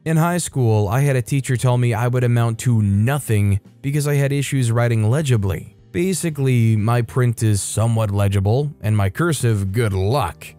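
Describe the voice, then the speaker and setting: A man reading out online stories as a narrator, in a steady, matter-of-fact way with light asides of his own. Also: mid-range voice. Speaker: story narrator. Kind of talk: scripted narration of reader stories. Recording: faint background music.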